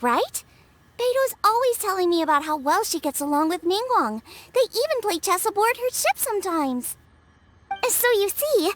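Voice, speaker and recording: A woman's voice: extremely high pitch, fairly bright tone, exaggerated voice. A woman voicing a cheerful, supportive young girl cook in a video game, earnest and reassuring. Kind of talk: animated game voice acting. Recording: music behind the voice.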